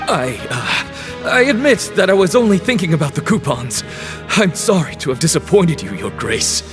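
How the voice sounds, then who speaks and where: A man's voice: a little high, big pitch swings, moderate pace, over music. An actor playing a grudging, nervous convict guide in a video game, hesitant and servile toward his betters. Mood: apologetic. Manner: hesitant, stammering before superiors.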